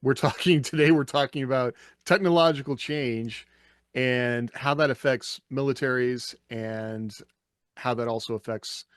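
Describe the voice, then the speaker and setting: Guy hosting a laid-back podcast chat, jokey, middling pitch, really dry sound. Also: steady tone, measured pace, smooth, no echo. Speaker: podcast host. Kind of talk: casual panel chat.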